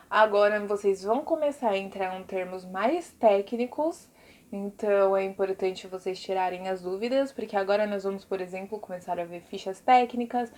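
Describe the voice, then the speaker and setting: Brazilian lady teacher w a highish voice, chatty, explaining stuff to her students n checking in a lot. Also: a little mellow. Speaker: teacher giving a recorded art class. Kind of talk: informal video lesson to pupils.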